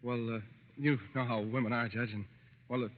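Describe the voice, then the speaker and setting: A man's voice: mid-range voice, steady tone, fairly quick, close to an everyday voice. An actor playing a nervous, sheepish young newlywed man in old-time radio style, hesitant and stammering. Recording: muffled, faintly noisy vintage radio recording.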